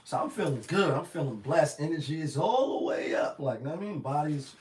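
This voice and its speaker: A man with a slightly raspy voice giving a casual, rambling motivational talk full of slang and "you know what I mean.". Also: a little high, lively intonation, fairly quick, darkish tone, roomy sound. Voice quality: a little raspy. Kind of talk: casual motivational monologue to camera.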